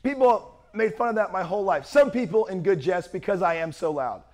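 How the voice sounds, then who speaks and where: A young man preaching a sermon with a worn-out, strained voice and a loud, projecting manner. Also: high-pitched, animated, fairly quick. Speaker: pastor.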